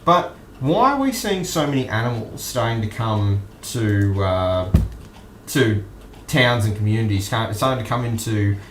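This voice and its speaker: An Australian man hosting an online news stream, commenting casually and off the cuff in a halting, musing way. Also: lowish voice, animated, a little hiss or hum. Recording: roomy echo with music behind.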